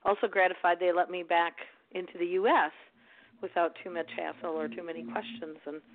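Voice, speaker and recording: A slightly hoarse woman co-hosting a live online show, chatty and playful, with a self-deprecating humor. Her voice sounds thin and phone-like over the call. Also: on the low side, fairly even intonation, a little fast. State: a bit hoarse after travel. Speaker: podcast co-host, HR professional. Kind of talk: casual chat.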